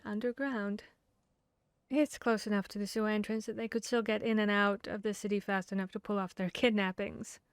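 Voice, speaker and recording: A woman's voice: medium pitch, darkish tone, dry recording, lightly acted. A woman playing an earnest, bookish vampire hunter who thinks aloud and gets slightly flustered, in a conversational ASMR audio roleplay.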